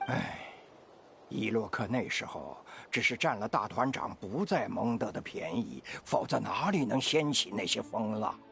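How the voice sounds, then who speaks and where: An actor playing a kindly, steadfast old veteran knight in a video game, with an aged, gravelly voice and an expressive manner. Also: male voice, on the low side, normal speed, a little hiss or hum. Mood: regretful. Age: older. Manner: expressive, sighing. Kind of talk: Chinese video game voice acting. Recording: music behind the voice.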